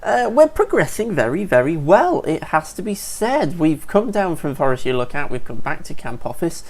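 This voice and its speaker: A man's voice: fairly high pitch, big pitch swings, normal speed. A man giving casual, upbeat commentary over a survival game, a bit sniffly from allergies and chatting to viewers as folks. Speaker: let's play gamer.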